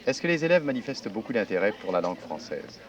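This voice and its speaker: A man with a low voice asks formal, polite interview questions at a quick clip. He sits a little off the mic, and the sound is dull with a faint hiss, a 1960s radio archive. Outside noise is faint behind him. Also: sing-song, recorded from a distance.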